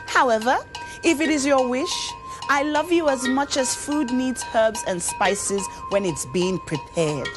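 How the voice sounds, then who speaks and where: A woman voicing a gentle, sincere young daughter in a storybook tale, speaking softly and earnestly. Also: high-pitched, unhurried, over music, pitched above the performer's own voice. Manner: soft, earnest, pleading. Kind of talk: storybook character voice.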